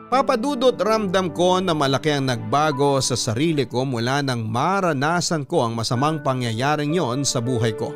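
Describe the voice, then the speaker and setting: Filipino man narrating over guitar music. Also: mid-range voice. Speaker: radio host reading a listener's letter. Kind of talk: dramatic scripted story narration.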